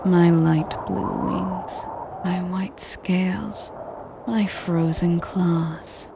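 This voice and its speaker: Slow, breathy, haughty dragoness, acted by a woman. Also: lowish voice, level tone, background noise, heavily acted. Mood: proud, imperious. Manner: breathy, half-whispered, slow and menacing; pompous, condescending. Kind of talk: scripted audio roleplay monologue.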